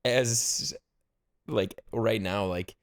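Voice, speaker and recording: A man from the American Midwest co-hosting a casual podcast chat, relaxed and rambling with many fillers. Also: lowish voice, very slow. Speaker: podcast co-host.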